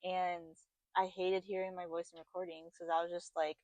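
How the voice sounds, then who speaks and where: Young woman, self-mocking animated chat. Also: lowish voice, moderate pace, no echo, dull audio. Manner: animated storyteller, self-deprecating, laughs often. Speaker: podcast co-host. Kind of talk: casual chat.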